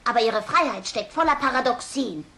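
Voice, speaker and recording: An actress playing a precocious, bookish, earnest schoolboy in a German cartoon dub. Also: female voice, on the high side, expressive, a little fast, sharp tone.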